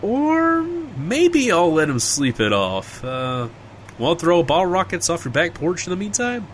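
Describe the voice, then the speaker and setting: An actor playing an easygoing, bemused friend in a casual, slangy comedy audio drama. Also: male voice, sits high, sing-song, a little slow, background noise. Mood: bantering. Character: easygoing, bantering friend explaining a strange town.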